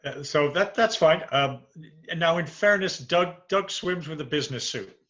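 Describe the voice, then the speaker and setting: A man's voice: on the high side, moderate pace, sharp tone. Male host on a dull, lo-fi line. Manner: repeats words when starting sentences. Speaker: host, interviewer. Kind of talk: interview conversation. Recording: dull lo-fi sound.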